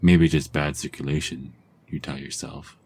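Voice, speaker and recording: A man narrating a second-person ASMR story in a calm, soft-spoken, husky voice. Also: very deep voice, normal speed.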